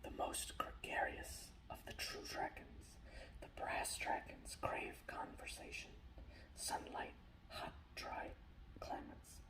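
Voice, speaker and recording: Male ASMR creator, breathy whisper with playful halting repeats, recorded roomy and slightly distant. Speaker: ASMR creator showing painted miniatures and reading lore.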